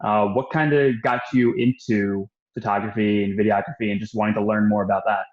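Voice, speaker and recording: Low-ish guy host, echoey muffled room, chatty questions. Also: steady tone, brisk, darkish tone. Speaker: interview host. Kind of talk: interview questions.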